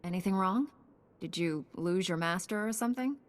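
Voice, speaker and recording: A woman playing a calm, dutiful knight heroine in a video game, formal and self-assured. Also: on the low side, moderate pace, lightly acted. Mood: composed, resolute. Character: composed, dutiful young female Jedi knight. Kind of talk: video game protagonist dialogue.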